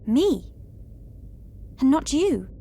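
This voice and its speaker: A woman's voice: high-pitched, big pitch swings, drawn-out words, gravelly, warm tone, slight background noise, exaggerated voice. A woman voicing an earnest young princess, formal and regal, in a video game cutscene. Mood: troubled, humble. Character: earnest, proud young princess weighed by doubt.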